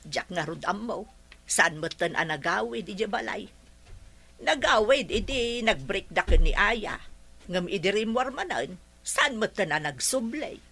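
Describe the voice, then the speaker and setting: A slow-talking, stubborn mother, played by a woman, defensive and complaining. Her voice is bright and clear at a middling pitch. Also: lively intonation, exaggerated voice. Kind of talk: radio comedy drama acting.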